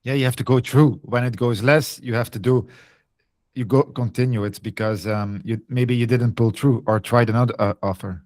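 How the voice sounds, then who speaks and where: A man's voice: medium pitch, fairly even intonation, normal speed, a little mellow, no room sound. A man on a casual group video call, friendly and encouraging, with broken phrasing. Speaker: community member.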